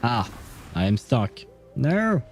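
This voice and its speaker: A man's voice: mid-range voice, sing-song, slow, faint music behind. A young man casually commenting on his gameplay, chatty, laughing often and swearing. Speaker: game streamer.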